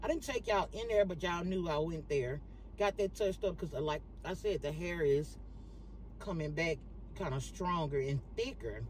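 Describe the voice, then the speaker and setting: A woman chatting casually to her viewers in a vlog, animated and talking straight to the camera from a parked car on her phone. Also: lowish voice, moderate pace, a little hiss or hum, rough recording. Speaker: vlogger.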